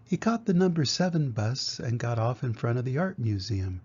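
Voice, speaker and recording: A man's voice: medium pitch, very animated, moderate pace, a little mellow, breathy edge. Older man reading a storybook, muffled sound. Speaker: grandfather reading picture books to children. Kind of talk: storybook read-aloud narration. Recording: dull lo-fi home recording.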